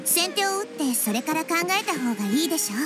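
A woman playing a gentle, wise little girl deity in an anime game, speaking in a composed, polite, reflective way. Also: sits high, big pitch swings, fast. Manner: composed, polite, reflective, feminine mature phrasing. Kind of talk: anime video game acting. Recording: music behind the voice.

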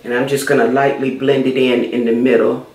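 A woman's voice: rumbling low, flat delivery, a little fast, a little mellow, reverberant, rough recording. A middle-aged woman chatting casually while doing her makeup for a beauty vlog, repeating herself as she goes. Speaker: beauty vlogger. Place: small room indoors.

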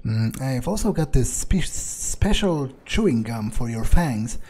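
A man improvising in-character game narration, halting and sweary as he mutters to himself. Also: medium pitch, big pitch swings, a little mellow, husky. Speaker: player role-playing his game character.

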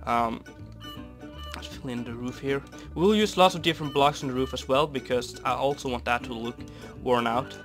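Young man, raspy from a cold. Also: medium pitch, moderate pace. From Norway. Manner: rambling, easygoing, many fillers. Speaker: Minecraft builder. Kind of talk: casual gameplay commentary. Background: soft guitar music.

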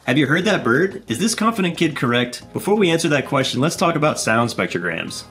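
A man's voice: a little high, big pitch swings, brisk, music in the background. A man in his thirties hosting a scripted game show in a playful, punning manner.

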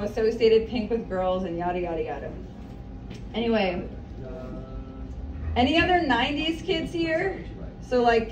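A woman's voice: sits high, dull audio. Chatty woman comic in an echoey café. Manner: chatty, self-deprecating, rambling asides. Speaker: stand-up comic. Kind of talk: stand-up comedy set. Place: small café stage with screen. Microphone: mic on stand, filmed from across the room. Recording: roomy, distant, noisy café recording.

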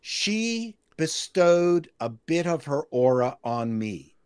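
A man's voice: on the high side, slow, clean tone, no room sound. Older canadian guy telling stories, acts out the quotes, dry close sound. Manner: animated storyteller who acts out quotes. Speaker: guest. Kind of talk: casual anecdote telling. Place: home room with bookshelves. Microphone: desk mic on a video call.

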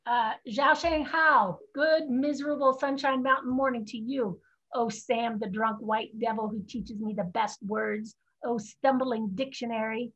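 A woman playing a sharp, cheeky young Chinese girl scribe in a staged play reading. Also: fairly high pitch, fairly even intonation, a little slow. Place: home room, video call. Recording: muffled video-call audio.

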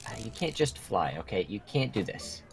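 A man playing a solemn dragon mentor in human form with a measured, portentous manner, in a gaming roleplay. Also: fairly low pitch, sing-song, breathy edge, heavily acted. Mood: dry, dismissive. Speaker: mentor training young dragon riders. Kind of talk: fan roleplay acting in a gaming video.

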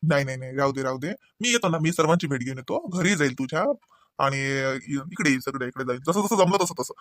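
A man's voice: a little high, expressive, fast talker, a bit bright, no room sound. A man voicing an easygoing, agreeable husband in a cartoon, rambling casually. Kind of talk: conversational cartoon dialogue.

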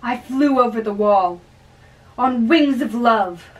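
A woman acts out an earnest young man, reckless with love, in an amateur play reading. The delivery is slow and halting. It is picked up from across a room. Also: on the high side. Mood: bold devotion. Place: small bedroom, indoors. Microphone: far camera. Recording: distant room microphone.

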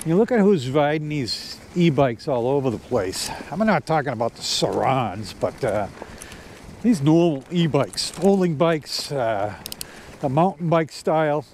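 A man in his fifties, voice pitched a bit high for his age, chats loosely while riding a bike down a trail. He wanders through thoughts with "um"s and restarts, recorded on a clip-on mic with faint noise from the ride behind him. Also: very animated, a little slow, darkish tone. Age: 50s. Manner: rambling, filler-heavy, frequent restarts. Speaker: e-bike vlogger. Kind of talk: casual vlog commentary while riding. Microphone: corded clip-on mic.

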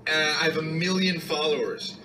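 A man's voice: fairly high pitch, moderate pace, background noise. A man in his thirties teasing a friend in a phone video message, cocky and drawn out. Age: 30s. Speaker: comedian sending video message. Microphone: phone video played on another phone.

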